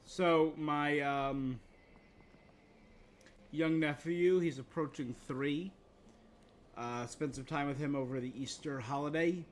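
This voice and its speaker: A man's voice: a little high, steady tone, takes it slowly, long pauses. A man streaming a game live, reacting casually and musing in tentative questions and fragments.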